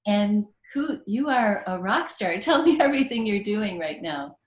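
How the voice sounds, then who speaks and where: A woman's voice: mid-range voice, expressive, a little slow. A woman hosting a talk show, effusive as she introduces and questions her guest. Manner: warm, effusive. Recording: echoey studio with faint music.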